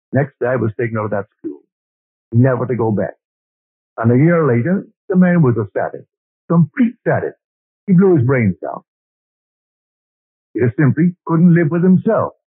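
A man's voice: medium pitch, husky, no echo. An older man from Barbados giving an earnest spiritual lecture, telling stories and quoting scripture and poetry. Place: lecture hall. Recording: old lo-fi tape recording, phone-like band.

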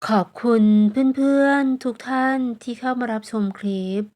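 A woman's voice: high voice, steady tone, moderate pace, a little mellow. A woman reading scripted bedtime story narration as a channel's storyteller.

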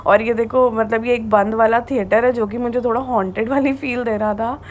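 Woman in her 20s, casual vlog host, worn-out, sleepy delivery, phone selfie mic, slightly high voice. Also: steady tone, brisk, lo-fi sound, a little hiss or hum. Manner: chatty, tired, mixes in English words. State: exhausted and sleepy. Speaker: vlogger. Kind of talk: casual travel vlog narration. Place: roadside by a car, outdoors. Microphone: phone selfie at arm's length.

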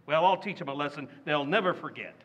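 An actor playing a loud, indignant working-class family man in a radio play. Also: fairly high pitch, quick pace. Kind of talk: old-time radio drama acting. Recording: dull lo-fi recording.

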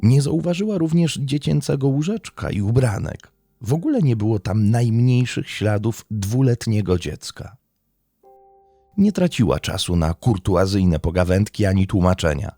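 A man reads a true crime script in a dark, mellow tone with lively, varied intonation. His delivery is measured and dramatic. The recording is close and dry, with faint music behind him. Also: on the low side, no echo. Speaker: true crime podcast narrator. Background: faint background music.

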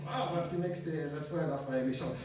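A middle-aged man, a singer-songwriter talking to the audience between songs on a dark club stage. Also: fairly high pitch, fast talker. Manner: chatty, leading the crowd. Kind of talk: stage banter at a live concert. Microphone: stand mic, filmed from far. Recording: echoey hall from far off, loud guitar. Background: loud acoustic guitar.

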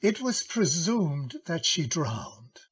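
An actor playing a courtly, cautious middle-aged gentleman lawyer in an old-time radio drama, husky, courteous and hesitant. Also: a little high, very animated, takes it slowly, heavily acted. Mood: guarded, grave.

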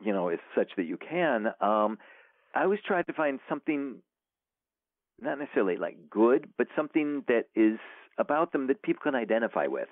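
Author guest, male, rambling and animated, heard over a tinny phone line. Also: mid-range voice, brisk, no echo. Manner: rambling, many fillers and restarts. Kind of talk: casual interview chat.

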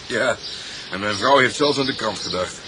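An actor playing a dry, sardonic, grumbling husband in a Dutch radio drama. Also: male voice, lowish voice, moderate pace, noisy background, lightly acted.

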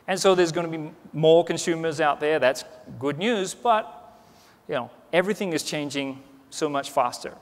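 A man's voice: on the high side, normal speed. A man in his forties giving a conversational conference keynote on a large stage, with lots of restarts and "you know.". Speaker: keynote speaker. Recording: reverberant conference hall.

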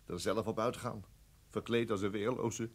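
Dry detective with a husky voice, acted by a man. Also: lowish voice, fairly even intonation, normal speed, close to an everyday voice. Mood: dry, teasing. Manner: dry, understated. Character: dry, teasing, unruffled male police detective. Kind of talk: Dutch radio drama acting.

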